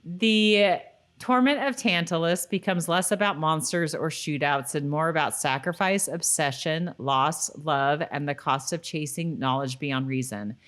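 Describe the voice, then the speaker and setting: A woman's voice: deep voice, a little slow, fairly bright tone. A woman co-hosting a podcast in a casual, informal, conversational style. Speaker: podcast co-host. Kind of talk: casual rewatch chat.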